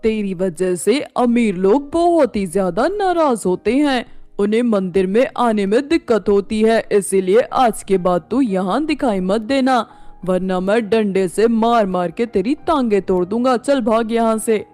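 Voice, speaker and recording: A woman's voice: mid-range voice, animated, measured pace, dark tone, soft background music, close to an everyday voice. Woman putting on an angry, bullying temple priest. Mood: angry scolding. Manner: scolding, bullying, commanding.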